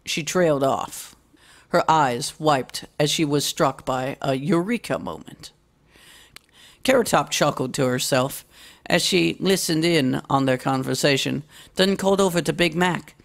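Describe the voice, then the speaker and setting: Woman, story reader, creaky voice, gravelly fry, scripted fiction narration. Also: deep voice, unhurried.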